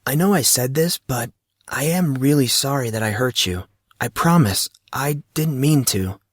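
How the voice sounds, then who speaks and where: A man's voice: medium pitch, lively intonation, unhurried, dry recording, clean studio sound, voice pushed up. A man voicing an awkward, apologetic fourteen-year-old schoolboy who is easily flustered, in an audio story. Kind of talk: audio drama character dialogue.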